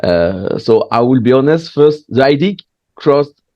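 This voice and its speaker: A man's voice: mid-range voice, lively intonation, a little mellow, dry recording. Guy chatting in english, lots of uhs and restarts, dry slightly muffled sound. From France. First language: French. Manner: hesitant, frequent pauses and restarts, candid. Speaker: guest, stand-up comedian. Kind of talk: casual interview chat.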